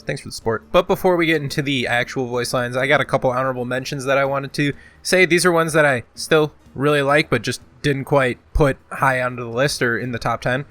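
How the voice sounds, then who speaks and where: A man's voice: medium pitch, bright, forceful tone. A man casually hosting a gaming countdown video, talking in a joking, exaggerating way with some swearing. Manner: belts out game voice lines. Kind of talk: casual top-ten countdown commentary.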